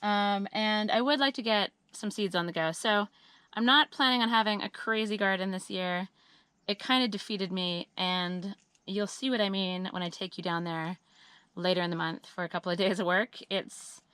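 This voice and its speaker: A woman giving a chatty, upbeat monthly update on her homestead work, her voice a little scratchy and hoarse, with frequent ums and uhs. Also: medium pitch, normal speed, fairly bright tone, no echo. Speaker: homesteading vlogger. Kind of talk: casual monthly update vlog.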